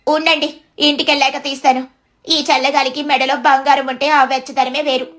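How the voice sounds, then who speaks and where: A woman's voice: sits high, little pitch movement, a little fast, a bit bright. A woman voicing an eager, vain village wife in a dramatic storybook tale. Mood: defiant, smug.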